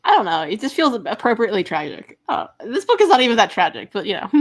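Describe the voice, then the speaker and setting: A woman's voice: medium pitch, very animated, fast talker. A woman co-hosting a casual book discussion, speaking in an offhand, tentative way.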